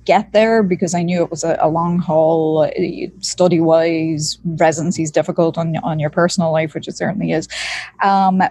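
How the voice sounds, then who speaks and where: A woman's voice: on the low side, dry recording. A woman surgeon from Ireland with an Irish accent, speaking casually in a podcast interview with many fillers. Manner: pragmatic, relaxed, talks with frequent fillers. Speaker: surgeon guest.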